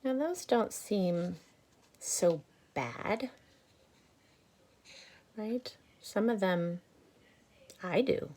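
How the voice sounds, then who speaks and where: A woman reading a picture book aloud to children, adding gentle asides and questions for her listeners. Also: medium pitch, animated, very slow, pauses often. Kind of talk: read-aloud storytime with commentary for children.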